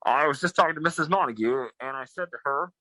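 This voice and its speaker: A man's voice: a little high, fast talker, pushed and bright, dry recording, poor audio. A man playing a bumbling, self-important government agent, breezy and pompous, in old-time radio comedy style.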